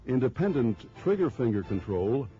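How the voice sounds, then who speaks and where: An American man reading scripted instructional narration for a training film in a measured, formal manner. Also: mid-range voice, very animated, faint music behind. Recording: dull lo-fi training film soundtrack.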